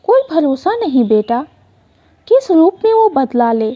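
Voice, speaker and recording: A woman's voice: high voice, sing-song, unhurried, lo-fi sound. A woman voicing an anxious, guilt-ridden middle-aged father in an emotional story, troubled and pleading.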